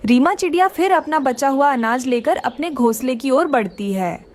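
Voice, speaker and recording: Woman narrator for children's tales: high-pitched, very expressive melody, scripted storytelling. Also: moderate pace.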